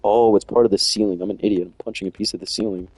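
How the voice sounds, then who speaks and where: A man's voice: fairly low pitch, fairly even intonation, rapid-fire, darkish tone, no echo, low-quality recording. A young man chatting casually and rambling in slang while streaming a game from home. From United States. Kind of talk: casual chat while playing.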